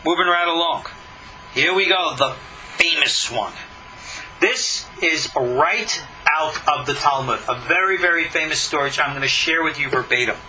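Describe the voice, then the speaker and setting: A man's voice: on the high side, normal speed, pushed and bright, slight background noise, poor audio. A man giving a religious lecture as a rabbi, reading scripture and commenting in an emphatic, argumentative way with rhetorical questions. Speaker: rabbi teaching a class.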